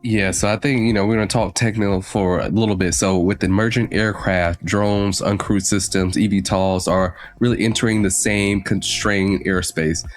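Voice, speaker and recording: A man with a low voice gives technical commentary as a podcast co-host. He lays his points out in orderly lists, and soft music plays behind him. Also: steady tone, moderate pace, few pauses.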